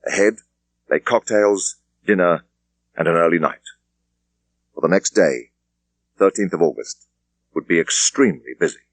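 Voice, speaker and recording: A man with an English accent reading a thriller audiobook in a measured, precise way. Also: low-pitched, no echo. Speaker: audiobook narrator. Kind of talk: scripted thriller narration.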